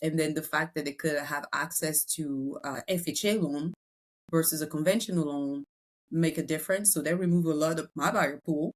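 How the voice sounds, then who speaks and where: Low-voiced French-accented woman. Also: fairly even intonation, normal speed. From Congo, raised in France. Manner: chatty, many fillers and restarts. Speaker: real estate broker. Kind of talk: podcast interview.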